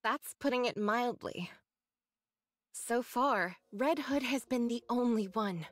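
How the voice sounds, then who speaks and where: An actress playing an earnest, scholarly young android researcher in a video game, speaking in a measured, precise way. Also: female voice, a little high. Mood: regretful, resolute. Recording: dry studio voice, faint game music.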